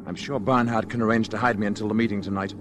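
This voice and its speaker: An actor in an old radio drama playing a composed alien visitor in human form, solemn and measured. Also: male voice, fairly low pitch, fairly even intonation, noisy background, natural voice. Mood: guarded, worried.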